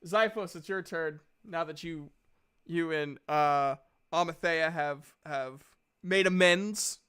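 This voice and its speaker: A man's voice: on the high side, slow, fairly bright tone, clear, resonant tone. A man casually narrating a tabletop roleplaying game as the game master. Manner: casual, conversational.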